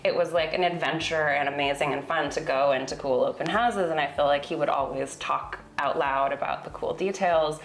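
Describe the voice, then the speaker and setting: A woman's voice: low voice, brisk, few pauses. An American woman from California chatting casually as she tells stories in an interview, with informal wording. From Sonoma County, California, US. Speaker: interior designer being interviewed on a home tour. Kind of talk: casual conversational storytelling. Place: indoors in a home. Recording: roomy indoor echo.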